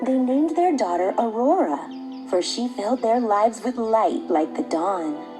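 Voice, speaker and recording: A woman's voice: a little high, expressive, unhurried. A woman reading a scripted fairy tale for children as a storyteller. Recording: background music.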